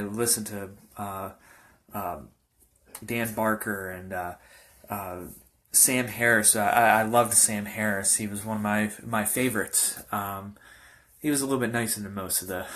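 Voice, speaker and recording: Young man, pastor giving his testimony, lowish voice, halting delivery full of fillers, earnest and informal. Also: pushed and bright. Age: young adult. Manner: halting, many fillers and restarts. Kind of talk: personal testimony talk.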